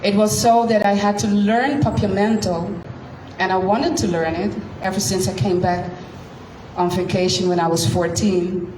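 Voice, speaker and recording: A woman in her thirties, born in Curacao with Dutch as her first language, telling her story to a live audience at a slow, deliberate pace. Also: mid-range voice, steady tone, darkish tone. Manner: slow, deliberate, with frequent pauses. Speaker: guest speaker. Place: event room. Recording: echoey room, distant mic, noisy.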